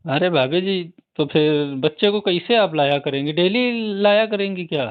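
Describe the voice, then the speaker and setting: A man's voice: high-pitched, animated, moderate pace, smooth, no room sound. A young man, chatting casually as a private tutor over the phone. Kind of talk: casual chat. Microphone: phone call. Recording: dry, slightly tinny phone call recording.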